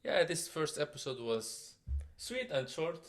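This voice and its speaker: A middle-aged man from Tunisia, co-hosting a podcast in halting full of fillers. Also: a little high, very animated. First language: Tunisian Arabic. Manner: halting non-native English with fillers and laughs. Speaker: podcast co-host. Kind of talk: casual chat.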